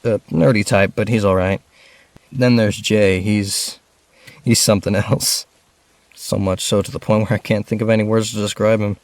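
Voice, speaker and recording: A man's voice: fairly low pitch, fairly even intonation, moderate pace, no echo, lightly acted. A man playing a lonely, grieving rich teenage boy in an audio drama, earnest, introspective and hesitant. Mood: confiding.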